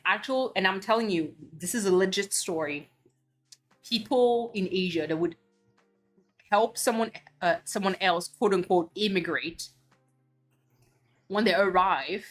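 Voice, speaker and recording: A woman's voice: medium pitch, a little slow, pauses often. Woman streaming, heated blunt rant, swears a lot, faint music behind. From Belgium. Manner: blunt, heated ranting with cursing and restarts. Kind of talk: casual chat.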